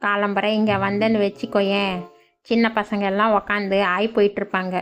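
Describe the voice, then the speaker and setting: A woman's voice: medium pitch, little pitch movement, a little fast, faint music behind, natural voice. A woman voicing a lazy, cheeky young village man in a cartoon, with a grumbling, sarcastic manner. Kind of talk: cartoon voice acting.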